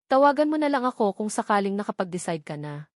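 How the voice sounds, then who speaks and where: A woman's voice: mid-range voice, very animated, fast talker, dry recording, studio quality, lightly acted. A woman voicing an assertive, self-assured young rich woman driving a bargain in an audiobook story. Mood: self-assured, demanding.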